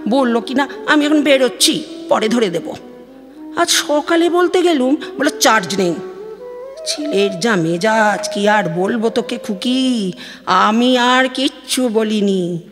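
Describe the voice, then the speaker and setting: A woman's voice: on the high side, animated, takes it slowly. A woman playing an aggrieved, gossipy middle-aged village mother from West Bengal on the phone in an audio drama, chatty and complaining, with a Bengali accent. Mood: weary, complaining. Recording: long studio reverb, music behind.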